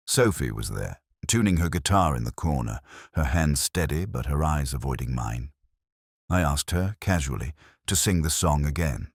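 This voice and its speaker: A man with an extremely low, husky, gravelly voice narrates a detective story in the first person. His delivery is measured, literary and melancholy, with lively movement in pitch. The sound is dry and close. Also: dry recording.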